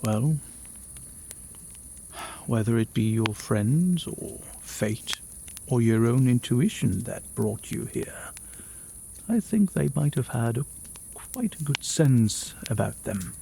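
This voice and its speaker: A man playing a gentle, absent-minded mage in a fantasy ASMR roleplay, soft-spoken and unhurried, sometimes muttering. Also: mid-range voice, lively intonation, gravelly, a little hiss or hum. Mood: gentle, reassuring. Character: gentle, absent-minded male mage, hospitable forest dream keeper.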